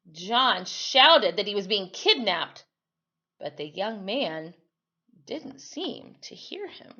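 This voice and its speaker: Woman doing storytime read-aloud, really animated teacher-like delivery, kinda dull lo-fi audio. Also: medium pitch. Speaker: storyteller reading aloud to children.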